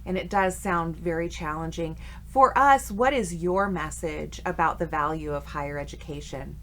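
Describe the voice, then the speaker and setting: A woman's voice: low voice, normal speed, fairly bright tone. Affirming woman podcast host. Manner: friendly, affirming, frequent "sure". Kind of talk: interview podcast.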